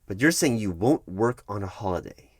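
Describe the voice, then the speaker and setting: Man playing a low-voiced, bureaucratic HR manager. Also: animated, moderate pace, husky, dry recording, studio quality. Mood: incredulous, firm. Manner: hesitant, repeats himself, patiently insistent. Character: patronizing, bureaucratic male HR recruiting manager. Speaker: HR manager in roleplay. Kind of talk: one-sided ASMR roleplay, conversational.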